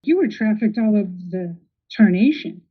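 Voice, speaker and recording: Woman podcast host, supportive, interviewing, mid pitch, tinny phone-like sound. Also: lively intonation, normal speed, husky. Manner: supportive, with short affirmations. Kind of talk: casual interview.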